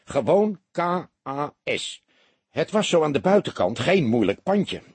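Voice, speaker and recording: Man voicing a chatty, husky small-time burglar. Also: on the high side, very animated, measured pace, darkish tone, dry recording, voice pushed up, heavily acted. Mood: chatty, boastful. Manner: chatty, colloquial, hesitant when cornered. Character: chatty small-time burglar, boastful yet nervous and wary.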